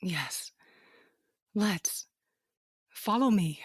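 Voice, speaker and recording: A woman playing a sharp, decisive, easily exasperated wife in an audio fantasy drama, plain-spoken with a sarcastic edge. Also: mid-range voice, animated, extremely slow, breathy edge, dry recording, studio quality, exaggerated voice. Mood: curt.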